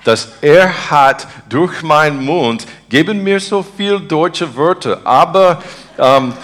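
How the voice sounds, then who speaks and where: A man from Texas with an American accent, a native English speaker, preaching and praying earnestly in halting, broken beginner's speech as he searches for words. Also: a little high, expressive, fairly bright tone, slight background noise. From Texas, United States. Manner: earnest, devout. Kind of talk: informal sermon and spontaneous prayer.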